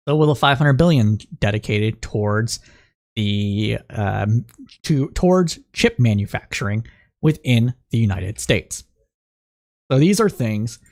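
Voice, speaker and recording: A man with a slightly raspy voice hosting a call-in political news podcast, explaining the week's news and reading reports aloud, with frequent fillers and restarts. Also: medium pitch, darkish tone. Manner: thinking aloud, many fillers and restarts, reads news excerpts aloud. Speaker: podcast host.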